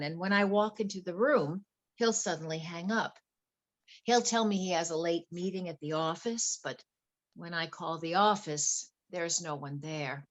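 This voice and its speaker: A woman playing a wealthy, anxious wife in a play reading, earnest, polite and flustered. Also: fairly low pitch. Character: wealthy, anxious wife confiding fears about her husband.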